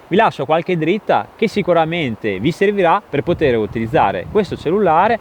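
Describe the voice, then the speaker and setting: A man's voice: fairly high pitch, slight background noise. A man narrating a scripted product review in an enthusiastic, emphatic manner. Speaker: tech reviewer, video host.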